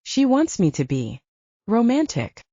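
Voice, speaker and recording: An earnest, awkward teenage boy acted out by a woman narrator blurts out his insecurities in an audiobook. The pitch swings widely, and the sound is dry and slightly dull. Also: huge pitch swings, measured pace, mellow, no room sound, full character voice. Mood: awkward, pleading. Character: big, earnest, insecure teenage younger brother. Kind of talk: audiobook character dialogue.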